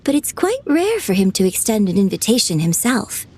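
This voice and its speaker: A woman's voice: mid-range voice, sing-song, moderate pace, a little mellow, slightly rough. A woman voicing a gracious, polite young female writer who speaks with poise in a game cutscene. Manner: courteous, poised, formal.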